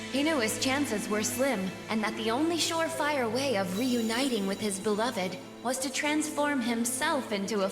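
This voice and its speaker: A woman voicing a solemn magical spirit who narrates a fairy tale in a game, in a measured way. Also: on the high side, natural voice. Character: solemn magical female spirit storyteller of an amulet. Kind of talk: scripted storybook narration in a game. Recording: echoey reverberant effect, music behind.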